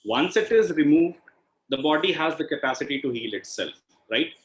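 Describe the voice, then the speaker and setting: A man's voice: fairly high pitch, expressive, moderate pace. A man with an Indian accent explains as an instructor on an online call, in a didactic way, often checking in with a questioning "right?". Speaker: healing instructor and session host. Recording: echoey room over video call.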